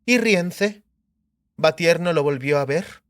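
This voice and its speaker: A commanding grown sorceress in an audiobook drama. The voice sits fairly high and moves in lively swings, yet stays measured and authoritative, recorded very dry. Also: male voice, no echo. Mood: probing.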